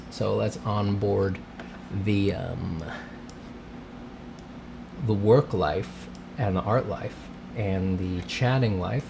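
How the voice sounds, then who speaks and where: A man's voice: on the low side, creaky, noisy background. A congested man hosting a casual livestream, rambling and greeting his chat between coughs. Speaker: livestream host, artist. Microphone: streaming setup mic.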